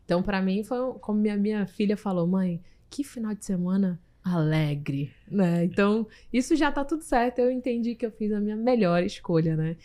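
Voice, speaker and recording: A woman's voice: medium pitch, lively intonation, moderate pace, hardly any pauses, dark tone. A woman hosting an interview, a mother, speaking emphatically and often seeking agreement. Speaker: interviewer, host. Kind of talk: casual interview talk.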